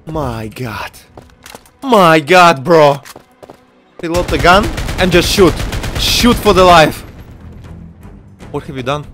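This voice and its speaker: A man hosting a gaming video with excited, exclamatory commentary, stammering and peppering his talk with slang. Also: sits high.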